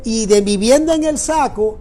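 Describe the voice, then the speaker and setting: Middle-aged Puerto Rican male pastor, warm mellow tone, emphatic repeating sermon delivery, faint music bed. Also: extremely high pitch, normal speed. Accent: Puerto Rican Spanish. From Puerto Rico. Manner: emphatic, repeats phrases for weight.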